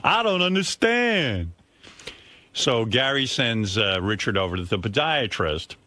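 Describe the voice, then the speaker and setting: A New man hosts a radio show, swinging his voice up and down as he tells a story. His tone is bright and the studio sound is dry and close. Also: medium pitch, unhurried, no room sound. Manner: animated storyteller, mimics others mid-story. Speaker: radio host. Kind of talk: casual radio banter.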